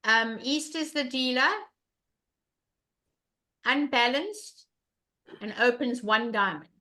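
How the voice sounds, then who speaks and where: An older woman leads an online bridge lesson with a loud voice. Her tone sits high and bright, and she hammers home points and repeats her questions to the class. She is heard through a webcam at home. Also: slow pace. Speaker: bridge teacher.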